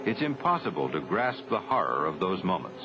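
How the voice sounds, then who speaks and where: An American man narrating a 1970s military training film from a script, formal, grave and measured. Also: low-pitched, sing-song, music in the background. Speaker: documentary narrator. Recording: dull 1970s film soundtrack, faint noise.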